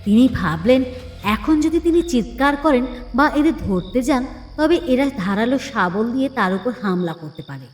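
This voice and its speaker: A woman giving scripted narration for an animated story, in a reverberant recording. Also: a little high, moderate pace. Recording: long reverb, music behind.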